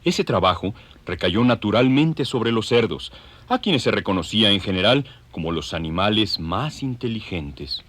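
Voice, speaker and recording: Male narrator, scripted dramatic storytelling; low, lively intonation, faint background noise. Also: moderate pace.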